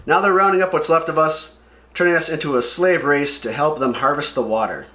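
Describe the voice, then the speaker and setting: A man's voice: on the high side, a little fast, pushed and bright. A man reading the part of a grave woman warrior from a comic, solemn and expository. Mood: defiant.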